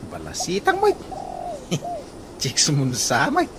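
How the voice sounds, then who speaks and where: A man playing a teasing married workmate in an Ilocano radio comedy drama, with an Ilocano accent and drawn-out interjections. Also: sits high, wildly swooping pitch, heavily acted. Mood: playful. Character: teasing, married male workmate and drinking buddy. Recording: very noisy, cooing birds behind.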